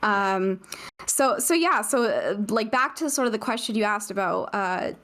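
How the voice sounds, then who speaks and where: A young woman from Canada chats as a podcast guest in a mid-pitched, everyday voice. She rambles, trailing through fillers and restarting sentences as she goes. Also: animated, normal speed, fairly bright tone. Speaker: guest streamer. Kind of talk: casual podcast chat.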